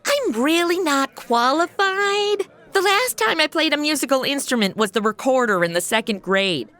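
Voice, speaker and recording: A woman's voice: high-pitched, animated, normal speed, a bit bright. A woman playing a brash, sarcastic modern young woman in a comedic audio drama, slangy and self-dramatizing. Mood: incredulous. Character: sassy, brash modern young woman thrown into medieval adventure. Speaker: lead of the comedy podcast.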